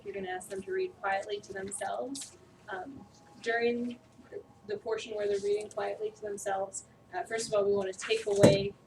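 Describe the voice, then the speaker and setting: A woman with a naturally quiet voice leading a staff training session, explaining steps casually with lots of fillers. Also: medium pitch. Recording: distant mic, noisy room.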